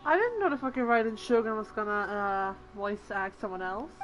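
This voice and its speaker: A woman's voice: mid-range voice, moderate pace, lo-fi sound, soft background music. Offhand, sweary woman gamer. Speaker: gaming video host. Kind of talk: casual gameplay commentary.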